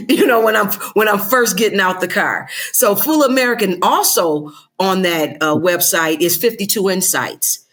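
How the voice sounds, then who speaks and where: A woman's voice: mid-range voice, animated, measured pace, fairly bright tone. An older woman, a guest on a podcast, talking animatedly and laughing often. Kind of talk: casual interview chat.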